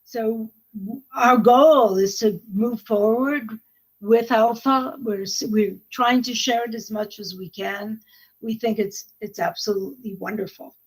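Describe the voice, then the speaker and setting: A woman gives a conference talk about her literacy classes in an earnest, enthusiastic way. Her voice sits a little high and has a husky edge. She often restarts her phrases. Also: fairly even intonation, unhurried, rough recording. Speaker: adult literacy program presenter. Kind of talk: conference presentation.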